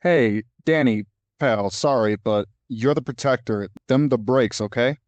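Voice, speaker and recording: A man's voice: mid-range voice, measured pace, darkish tone, no echo, highs cut off, lightly acted. A man voicing a blunt, easygoing creature sidekick with a folksy manner in a fantasy audio drama. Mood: matter-of-fact.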